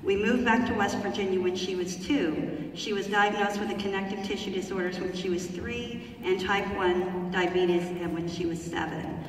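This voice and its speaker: A woman's voice: mid-range voice, fairly even intonation, normal speed, hardly any pauses, slight background noise. A woman at a town hall reading out a written testimonial, carefully and with small fillers. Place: large theater hall. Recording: echoey hall from far off.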